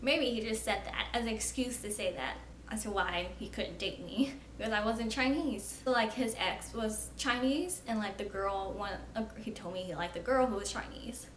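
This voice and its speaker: A young woman chats straight to camera in a loose, frank vlog voice, leaning on "like" as she thinks aloud. Her voice sits at an ordinary middle pitch for a woman. The room rings with a noticeable echo, and a faint hum of ambient noise sits underneath. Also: moderate pace. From United States. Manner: chatty, frank, frequent "like" fillers. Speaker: vlogger. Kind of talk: casual talking-to-camera vlog.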